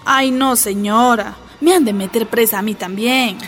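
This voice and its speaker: A woman's voice: on the high side, normal speed, soft background music, close to an everyday voice. A woman playing a timid young mother. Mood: fearful. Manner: hesitant, fearful.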